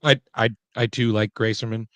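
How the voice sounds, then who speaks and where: A man chatting casually as a sports show panelist, doubling back on his words in a halting way. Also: mid-range voice, steady tone, no room sound. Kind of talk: casual chat.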